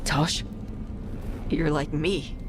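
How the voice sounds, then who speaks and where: An actress playing a young, defiant, anguished warrior in a fantasy video game. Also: female voice, sits low, fairly even intonation, extremely slow, background noise. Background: music.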